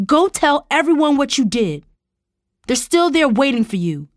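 A woman's voice: mid-range voice, expressive, normal speed, no echo, lightly acted. A fiercely protective young woman, played by a female narrator in an audiobook story. She speaks with raw intensity and conviction, in a mid-range voice with a very dry sound. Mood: furious, wounded. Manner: growling, hissing, shouting confrontationally.

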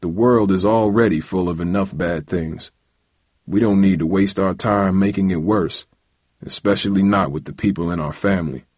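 A man voicing a weary, serious middle-aged father in an audiobook, speaking gravely and gently as he gives advice. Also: low voice, no room sound, studio quality. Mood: weary, earnest. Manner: slow, grave, gentle advising. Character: weary, serious ailing father of a teenage boy.

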